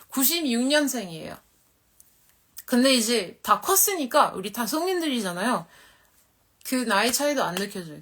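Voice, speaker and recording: A woman in her thirties, from Korea, chatting candidly and a bit ramblingly with viewers on her live stream. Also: a little high. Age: 30s. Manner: candid, rambling, self-deprecating. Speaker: model and livestream host. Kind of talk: casual live-stream chat.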